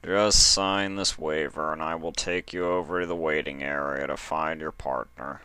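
A man voicing a fussy male pony official with a slow, formal, deadpan delivery. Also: extremely low pitch, smooth, dry recording. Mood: dry, polite.

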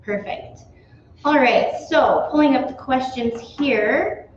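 A woman's voice: high-pitched, slow, a little hiss or hum. A young woman hosting a live question-and-answer in an office, friendly, with many "um"s. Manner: friendly, lots of "um"s. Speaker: host. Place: small office room. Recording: very echoey, dull office recording.

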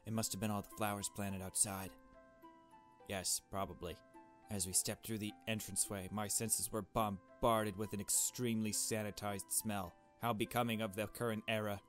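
A man with a lowish voice reads a visual novel aloud in a casual let's play style. He mixes the narration with informal, joking asides. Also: level tone, moderate pace, soft background music. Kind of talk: reading game text aloud with casual commentary.

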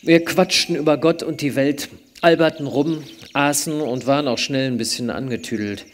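A middle-aged man narrating a live radio play on stage with expressive, theatrical storytelling into a headset mic. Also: on the high side, normal speed.

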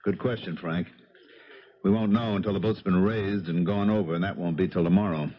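An actor in a 1970s radio drama playing a businesslike police lieutenant with a clipped, matter-of-fact manner. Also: male voice, low-pitched, fairly even intonation, a little fast. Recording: muffled 1970s radio recording.

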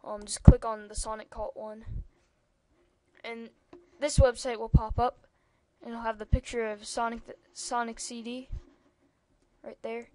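Young-sounding girl with a high voice. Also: flat delivery, a little slow, mellow. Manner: rambling, many ums, chatty asides. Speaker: tutorial maker. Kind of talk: casual screen-recording tutorial.

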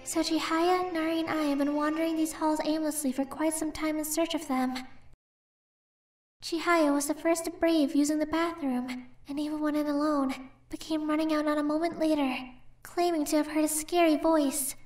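A woman's voice: sits high, fairly even intonation, darkish tone, roomy sound, natural voice. A woman voicing a sweet, earnest, easily startled schoolgirl in a horror game fan dub, with a girlish voice. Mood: anxious, narrating. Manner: earnest, halting when nervous. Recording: music behind the voice.

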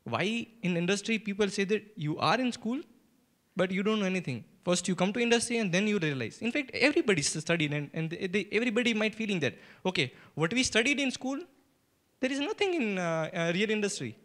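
A man's voice: high voice, expressive, quick pace, a bit bright. A man making an insistent, spontaneous comment as a forum participant, often repeating himself. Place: lecture hall.

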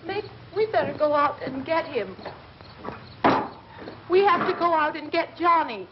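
An actress playing a terrified, hysterical young woman in shock, halting and pleading, in an old horror film. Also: sits high, lively intonation. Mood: desperate pleading. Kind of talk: 1960s horror film acting. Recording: noisy, muffled old film soundtrack.

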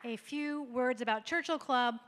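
A woman's voice: high voice, normal speed, fairly bright tone, some chatter behind. Female host, middle-aged, polished and formal, handheld mic, echoing hall. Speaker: event host. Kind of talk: scripted welcome speech. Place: stage in an event hall.

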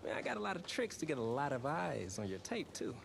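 An actor playing an eager young sports reporter angling for a scoop in a video game cutscene. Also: male voice, on the high side, big pitch swings, fast talker, creaky. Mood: eager, persuasive. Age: young adult. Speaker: student reporter. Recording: noisy ambience behind the voice.

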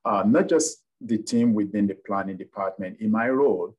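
A middle-aged man from Nigeria, a city planning director answering interview questions in a courteous, measured way over a video call. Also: fairly low pitch, darkish tone, slightly rough, highs cut off.

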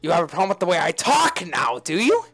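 A woman putting on a giggling, unhinged male clown villain voice, with shrill cackles and sudden shouts, pranking strangers in a voice chat. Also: wildly swooping pitch, quick pace, slightly rough, faint music behind, exaggerated voice. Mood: manic.

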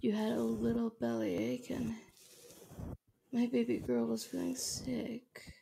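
A woman playing a tender, doting, dominant girlfriend in an ASMR roleplay, speaking softly and gently with breathy whispers, close to the microphone. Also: fairly high pitch, flat delivery, slight background noise. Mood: tender, reassuring. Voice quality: soft, breathy, often whispering. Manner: slow, gentle, babying. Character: tender, doting dominant girlfriend comforting her sick partner.

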